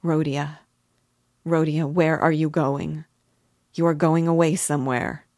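A woman's voice: deep voice, steady tone, unhurried, a little mellow, dry recording, studio quality. A woman voicing an anxious, tearful middle-aged mother who pleads emotionally with her son. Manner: pleading, emotional, breaking into sobs. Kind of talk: audiobook character reading.

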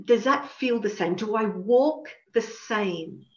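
A woman host leads a session with slow, reflective questions and leans on certain words for emphasis. Her voice carries a roomy echo, and the call audio sounds muffled. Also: medium pitch, expressive. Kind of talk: coaching talk. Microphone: video call. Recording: echoey, dull room sound.

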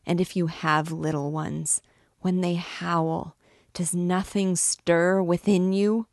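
A woman's voice: lowish voice, little pitch movement, takes it slowly, darkish tone, no room sound, studio quality. A woman voicing a wild, grieving recluse mother who shrieks and pleads desperately for her daughter. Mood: furious pleading.

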